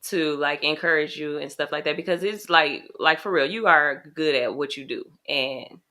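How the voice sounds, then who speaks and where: A woman's voice: low voice, normal speed, sharp tone. A woman co-hosting a faith podcast, chatting casually and encouragingly, often laughing. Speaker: podcast co-host.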